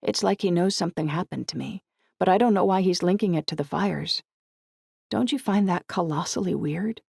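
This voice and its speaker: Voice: mid-range voice, moderate pace, vocal fry, darkish tone, slightly rough, dry recording, studio quality, voice pushed up. A narrator voicing an earnest, troubled young woman who speaks candidly and conversationally in an audiobook. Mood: indignant, puzzled.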